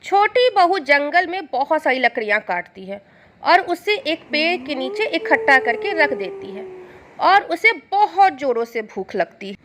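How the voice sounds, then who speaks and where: A woman's voice: high voice, sing-song, measured pace, a bit bright, slight background noise. A woman narrating a scripted moral storybook tale as the storyteller.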